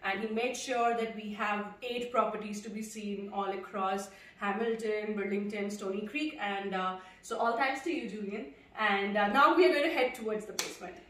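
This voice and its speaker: A woman's voice: medium pitch, steady tone, moderate pace, few pauses, a bit bright, recorded from a distance. A young woman, a Punjabi speaker, chatting casually as she walks through an empty house, with many fillers. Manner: chatty, walks while talking, frequent uh fillers. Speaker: vlogger. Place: empty house, indoors, bare rooms. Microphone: handheld camera at a distance. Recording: echoey empty rooms, voice from off mic.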